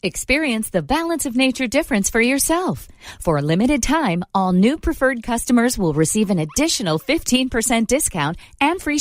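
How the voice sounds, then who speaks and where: Female commercial voice, lively scripted read, close dry studio sound. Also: a little high, dry recording. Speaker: commercial announcer.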